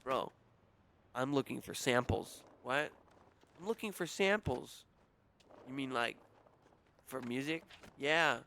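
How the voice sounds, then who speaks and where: A man's voice: medium pitch, expressive, slow, long pauses, pushed and bright. A young adult man with a nasal, whiny voice, rambling casually and making crude jokes while streaming a game.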